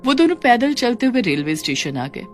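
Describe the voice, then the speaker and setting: A woman's voice: on the high side, very animated, fairly quick, soft background music. A woman narrator reading a scripted, dramatic moral story.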